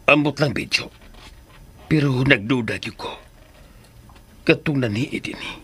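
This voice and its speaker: An actor with a Filipino accent playing a worried, suspicious confidant in a radio drama, pondering in a subdued way. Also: male voice, mid-range voice, measured pace, fairly bright tone, breathy edge.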